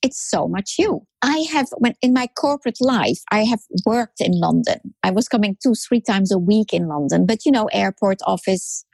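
Husky-voiced woman from France, emphatic. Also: medium pitch, expressive, normal speed, hardly any pauses, a little mellow, no room sound. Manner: emphatic, repeats words for rhythm. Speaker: podcast guest. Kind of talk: storytelling interview talk.

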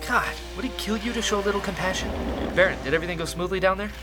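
A woman's voice: mid-range voice, rapid-fire, loud music behind the voice, natural voice. A woman playing an idealistic, hot-headed young rebel radio host, earnest and impassioned, in a sci-fi radio drama. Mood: indignant.

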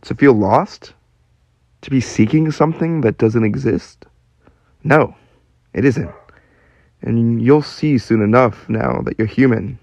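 A man acts a weary, sceptical drifter in a radio play. His mid-range voice is gravelly with creak and sounds close and dry. He comes across as lost and searching. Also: medium pitch, takes it slowly, pauses often, a little mellow, no room sound, lo-fi sound. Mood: weary, downcast.

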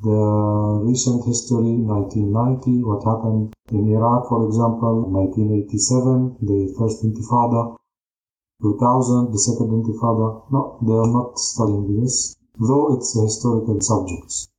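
A man in his thirties from Jaffa with a Palestinian Arabic accent, answering interview questions in deliberate, phrase-by-phrase non-native English. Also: lowish voice, fairly even intonation, mellow. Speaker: history teacher, interview guest. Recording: roomy echo.

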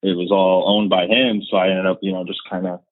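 A man's voice: low voice, level tone, brisk, no room sound. A man in his thirties with a Canadian accent, a podcast guest chatting casually in a rambling way with lots of fillers. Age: 30s. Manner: rambling, many fillers and laughs. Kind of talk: casual chat. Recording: tinny phone-like line.

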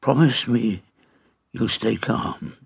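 A man voicing a cheeky, excitable teenage schoolboy who teases his friend. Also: medium pitch, slow pace, creaky, husky, voice pushed up, full character voice. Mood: excited. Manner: teasing, excitable. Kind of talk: audiobook character reading.

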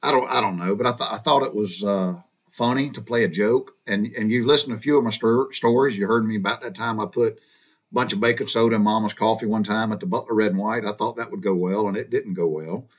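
A man's voice: on the low side, brisk. Folksy Southern man telling childhood stories. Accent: Southern US (Georgia). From Georgia, USA. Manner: folksy, meandering, with frequent restarts and asides. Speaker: storyteller recounting his childhood. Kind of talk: casual spoken storytelling.

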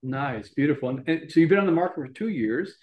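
A man's voice: on the high side, lively intonation, fast talker, lo-fi sound. A man hosting a podcast interview, asking loose questions that restart and ramble. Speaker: podcast host. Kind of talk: casual interview.

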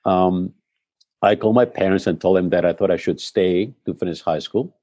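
A middle-aged man from Spain, whose first language is Spanish, speaking in a relaxed interview and telling his story thoughtfully, often restarting his sentences. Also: low-pitched, moderate pace, no room sound, lo-fi sound. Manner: reflective storytelling with frequent restarts. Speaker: head of school, guest. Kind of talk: conversational interview.

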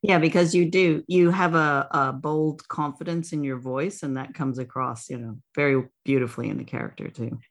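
Lady podcast host, lowish voice, chatty and gushing, doing an interview. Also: fairly even intonation, normal speed, few pauses. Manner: effusive, encouraging. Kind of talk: casual interview hosting.

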